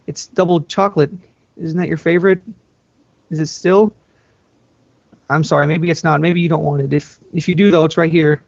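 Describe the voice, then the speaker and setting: A man voicing a lonely eighteen-year-old princess in a story reading, rambling nervously and haltingly. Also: a little high, fairly even intonation, creaky, no echo. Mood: awkward, eager. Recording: dull lo-fi microphone, dry.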